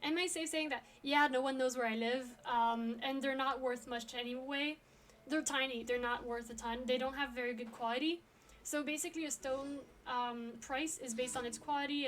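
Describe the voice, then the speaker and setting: High-voiced young woman streamer chatting. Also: steady tone, normal speed, fairly bright tone, a little hiss or hum. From France. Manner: chatty, frequent ums and laughs. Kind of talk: casual chat with viewers.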